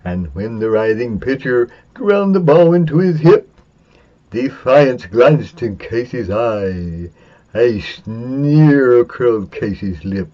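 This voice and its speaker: A man giving a semi-dramatic reading of a narrative poem, theatrical and drawn-out, with big swings for suspense and excitement. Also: medium pitch. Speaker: poetry reader. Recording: dull, lo-fi sound.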